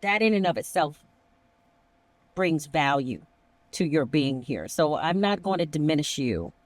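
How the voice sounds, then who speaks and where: A woman's voice: low voice, sing-song, measured pace, no echo. Middle-aged female podcast host, emphatic and conversational, close dry recording. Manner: emphatic, acts out imagined dialogue. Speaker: podcast host, emergency dispatch veteran. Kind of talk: conversational discussion.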